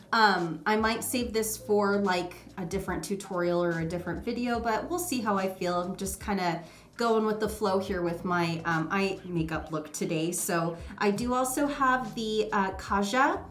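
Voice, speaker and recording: A woman's voice: mid-range voice, a little slow, a bit bright. Woman beauty vlogger, nasal with a cold, loose chatty delivery, echoey room. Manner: chatty and rambling, many ums and uhs. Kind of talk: casual makeup vlog chat.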